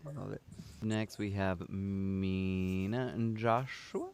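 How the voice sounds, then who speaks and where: A man's voice: low-pitched, takes it slowly, darkish tone. A man hosting a book show, chatting casually with guests on a live stream. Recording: some background noise.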